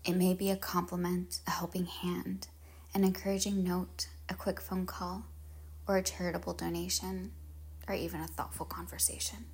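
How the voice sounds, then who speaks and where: A woman leading a guided meditation in measured, solemn phrases with pauses, her husky voice trailing into breathiness. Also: fairly low pitch, level tone, a little mellow.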